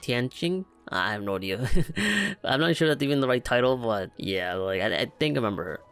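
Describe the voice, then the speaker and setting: A man's voice: mid-range voice, expressive, a little fast, a bit bright. A young man hosting a gaming video with casual, self-deprecating and jokey reaction commentary. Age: young adult. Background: faint music.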